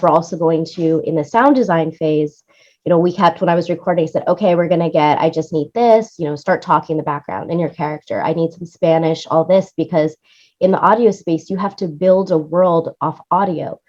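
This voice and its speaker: A woman's voice: on the low side, darkish tone, muffled recording. A woman talking enthusiastically and conversationally as an interview guest about her creative work. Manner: enthusiastic, effusive. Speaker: interview guest, radio play producer and music supervisor.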